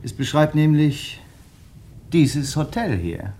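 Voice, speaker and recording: An actor playing a suspicious, sardonic amateur investigator in a German radio drama, with a probing, pointed manner. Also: male voice, a little high, big pitch swings, slow pace, vocal fry, dark tone, a little hiss or hum, heavily acted. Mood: needling.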